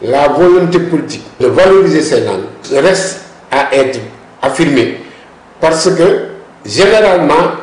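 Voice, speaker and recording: A man, an expert guest who explains at length and insists on his points, often cutting in with repeated words. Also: fairly high pitch. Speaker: language policy expert guest. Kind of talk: discussion interview. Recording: roomy echo.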